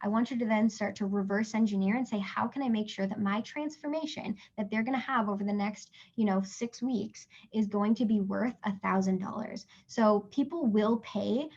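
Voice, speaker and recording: A woman from Canada leads a live online class, talking in a chatty, encouraging way with frequent check-ins. Her voice sits at a middle pitch and is a little hoarse. The call audio is dull and lo-fi. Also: normal speed, few pauses, darkish tone. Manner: chatty and encouraging, many fillers and "right?" checks. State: losing her voice. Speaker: webinar host and business coach. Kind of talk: live webinar teaching.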